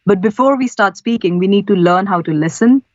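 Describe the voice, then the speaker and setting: A woman's voice: medium pitch, fairly quick, darkish tone, no echo. A woman from India, a voice-over artist, answering interview questions in an earnest, practical way. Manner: earnest, practical, gives advice step by step. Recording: slightly dull, lo-fi audio.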